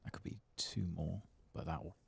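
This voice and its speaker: A man giving soft-spoken, sometimes whispered ASMR gameplay commentary, murmuring and musing as if to himself. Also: lowish voice, very animated, moderate pace, vocal fry, husky. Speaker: game streamer.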